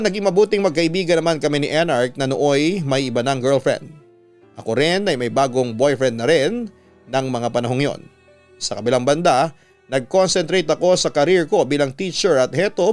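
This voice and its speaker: Man reading letters with dramatic lifts. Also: fairly high pitch. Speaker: radio announcer and host. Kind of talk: reading a listener's letter aloud, then giving advice.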